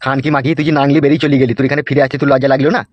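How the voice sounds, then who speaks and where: A man's voice: medium pitch, level tone, rapid-fire, no echo, highs cut off, lightly acted. A man voicing a bossy, crude village politician in a comedy cartoon, with coarse, scolding talk. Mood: angry, abusive.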